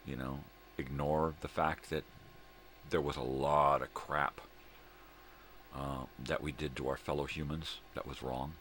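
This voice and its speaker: A middle-aged man with a gravelly voice, rambling casually and folksily as he hosts a morning vlog, often repeating himself. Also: fairly bright tone. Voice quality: gravelly, very deep. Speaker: host of a daily vlog. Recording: clear background room noise.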